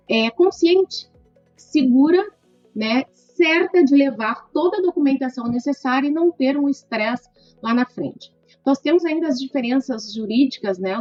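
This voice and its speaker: A middle-aged woman with a gaúcho accent answers an interview question in a measured, explanatory way, tagging her sentences with "né". Her voice sits a little high and the sound is dry and close. The recording is slightly dull, with faint music in the background. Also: darkish tone, no room sound. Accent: gaúcho Brazilian Portuguese. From southern Brazil (Rio Grande do Sul). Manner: measured, lists points with frequent "né". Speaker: lawyer guest.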